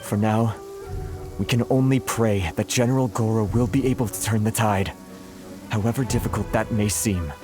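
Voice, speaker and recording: An actor playing a grave, worried resistance officer in a video game, speaking formally and sombrely. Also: male voice, lowish voice, normal speed, over music, lightly acted. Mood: grim, worried. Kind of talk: dramatic video game voice acting.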